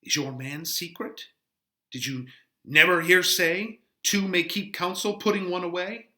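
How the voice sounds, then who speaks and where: A man's voice: high-pitched, steady tone, measured pace, bright, forceful tone, reverberant, voice pushed up, full character voice. A man voicing a chatty, fussy, protective older nursemaid, rambling and exclaiming theatrically in a Shakespeare play reading. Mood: probing.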